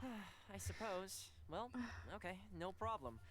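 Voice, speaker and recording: A man's voice: high voice, expressive, slow, fairly bright tone, heavily acted. An actor playing an easygoing young man who handles a noble house's affairs in a game. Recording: game audio with faint music.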